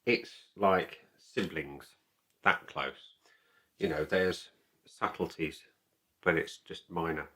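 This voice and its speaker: A man giving a casual product review to camera as a video host, speaking in a halting, deliberate way and repeating phrases. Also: low voice, pushed and bright.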